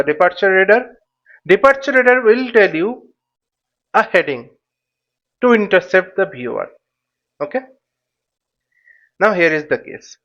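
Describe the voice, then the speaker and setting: A man giving an explanatory tutorial as an instructor, thinking aloud in a halting, repetitive way and often checking in with short tags. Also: sits high, lo-fi sound. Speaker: flight simulation instructor.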